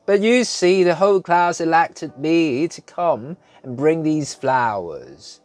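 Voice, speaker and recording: A man reading an audiobook, voicing a crude, brash young boy who talks loudly and taunts. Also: a little high, lively intonation, slow pace, faint music behind, voice pushed up. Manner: loud, taunting, gleeful.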